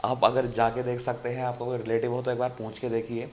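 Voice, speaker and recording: A man's voice: mid-range voice, monotone, fast talker, slight background noise. A man hosting an online channel, explaining in a casual chatty monologue and often calling viewers "friend". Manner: conversational, keeps saying "friend" to viewers. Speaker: YouTube channel host.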